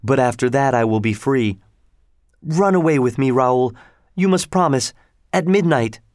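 A man's voice: a little high, measured pace, dry recording, studio quality, pitched above the performer's own voice, natural voice. A man voicing a frightened, earnest young woman opera singer in a dramatic storybook reading. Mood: pleading.

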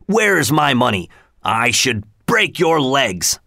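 Brief: a man doing a rough drunk brute of a father, slow and scornful, storybook. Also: mid-range voice, big pitch swings, sharp tone, no room sound, clean studio sound, exaggerated voice. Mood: gruff.

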